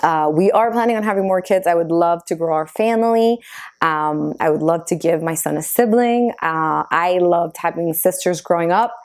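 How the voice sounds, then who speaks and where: A woman's voice: lowish voice, moderate pace, a little mellow. A woman in her thirties from Argentina whose first language is Spanish, chatting casually as she answers her own get-to-know-me questions, with many fillers. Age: 30s. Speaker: YouTuber.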